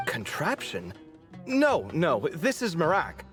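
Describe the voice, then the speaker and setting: Earnest adventurer, very slow, lowish. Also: female voice, wildly swooping pitch, faint music behind, full character voice. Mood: flustered. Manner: halting, earnest. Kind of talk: video game character acting.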